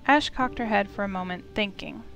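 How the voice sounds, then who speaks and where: A woman's voice: mid-range voice, a little fast, a bit bright. Woman reading a story over music. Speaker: narrator of visual novel playthrough. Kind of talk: reading story narration aloud.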